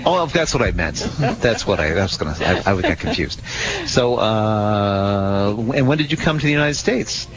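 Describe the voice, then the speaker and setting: A man's voice: lowish voice, animated, normal speed, few pauses. A man hosting a live radio talk show in a casual, chatty and jokey way. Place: live on location radio broadcast. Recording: faint background noise, live location radio.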